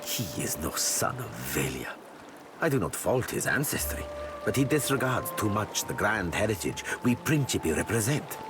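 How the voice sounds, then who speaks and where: An actor playing a suave, sly pirate captain in a video game, speaking formally and courteously. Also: male voice, lowish voice. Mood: disdainful. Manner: formal, elaborate, courteous phrasing. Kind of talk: video game character acting. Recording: loud music behind the voice.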